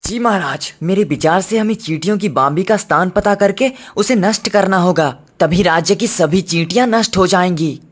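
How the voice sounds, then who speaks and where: A man's voice: high voice, normal speed, hardly any pauses. A man playing a deferential royal servant who speaks formally and respectfully in a children's cartoon story. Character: deferential male royal servant reporting to his king.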